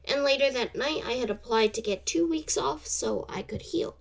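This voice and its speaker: A young woman hosting a solo podcast, slightly congested from a cold, speaking in a soft, halting way that trails off. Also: sits high, creaky. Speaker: podcast host. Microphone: camera off to the side.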